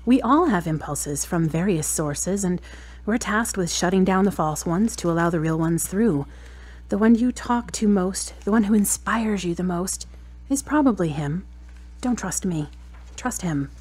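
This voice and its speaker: A woman's voice: lowish voice, expressive, a little slow, darkish tone, breathy edge. A woman playing a frank, exacting girlfriend in an audio drama, wry and challenging, with pointed questions. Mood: wry, probing.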